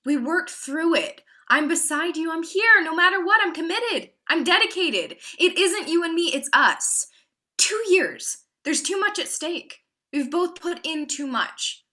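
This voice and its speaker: A woman's voice: very high-pitched, lively intonation, measured pace, sharp tone, heavily acted. An actress playing a worried, devoted girlfriend in a staged play reading, coaxing and pressing her partner with questions. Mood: distressed.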